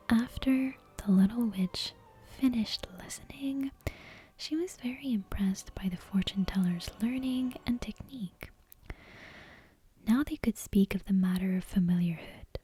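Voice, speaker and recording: A woman whispering a bedtime story in a soft, breathy, gentle ASMR style, close to the microphone. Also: medium pitch. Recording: clear background music and ambience. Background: soft game soundtrack music, ambience.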